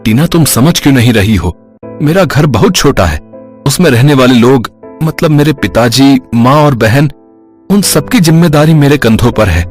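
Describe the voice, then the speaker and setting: A man's voice: mid-range voice, animated, moderate pace, soft background music, close to an everyday voice. A man voicing a humble young guard who speaks earnestly and hesitantly. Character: humble young security guard, worried and modest.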